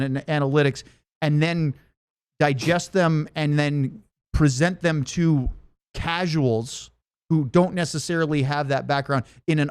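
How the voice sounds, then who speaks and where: Clear-voiced man hosting a podcast. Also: fairly high pitch, dry recording. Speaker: podcast host. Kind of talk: casual sports podcast chat.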